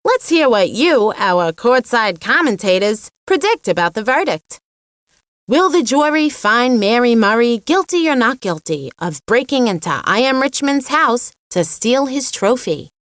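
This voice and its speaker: Lively, high-voiced cartoon court reporter. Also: female voice, unhurried, no room sound, clean studio sound. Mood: eager. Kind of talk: broadcast commentary.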